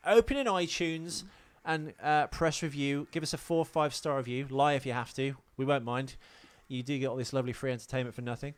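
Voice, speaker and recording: A man's voice: medium pitch, a little fast, hardly any pauses. Rambling, self-deprecating British man podcasting. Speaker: podcast host. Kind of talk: casual comic podcast chat.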